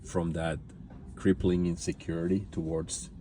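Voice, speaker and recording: A man's voice: low-pitched, level tone, unhurried, warm tone, a little hiss or hum. A man from Finland speaking reflectively as a guest in a conversational interview. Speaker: interview guest, entrepreneur and business coach.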